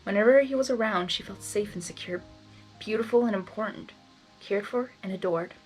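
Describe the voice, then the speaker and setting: A woman reading fan fiction aloud in expressive storybook narration, with informal asides. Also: medium pitch, normal speed, husky, faint music behind, low-quality recording.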